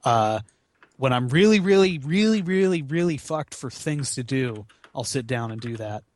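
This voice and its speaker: A man's voice: medium pitch, animated, moderate pace, no echo. Sweary young man with a dry, close sound. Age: 20s. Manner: profane, slangy, self-deprecating. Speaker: podcast guest, gaming marathon runner. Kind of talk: casual rambling chat about video games.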